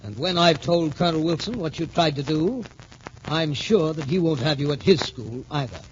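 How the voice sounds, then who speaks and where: A muffled, hissy old radio recording of an actor as an American academy major, a middle-aged man. He speaks with formal authority and emphasis, his fairly high voice moving up and down in lively 1940s drama style. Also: normal speed, natural voice. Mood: stern. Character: stern but appreciative major heading a boys' military academy.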